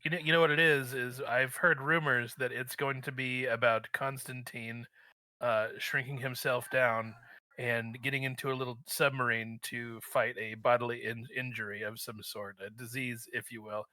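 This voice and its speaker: A man's voice: medium pitch, little pitch movement, normal speed, bright, forceful tone, no room sound, rough recording. A man co-hosting a livestream in casual banter, playfully riffing with mock seriousness. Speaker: guest co-host.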